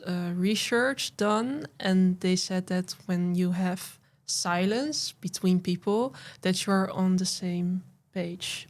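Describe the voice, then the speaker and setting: A woman speaking as a poet guest in a relaxed interview, answering in halting phrases with many fillers. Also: mid-range voice. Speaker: podcast guest, spoken word poet. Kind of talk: casual conversational interview.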